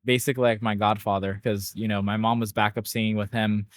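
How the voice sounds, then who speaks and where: A young man from Barbados and San Diego chatting as a podcast guest, relaxed and laid-back, telling stories at a quick clip. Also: on the low side, no room sound, clean studio sound. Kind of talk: casual interview chat.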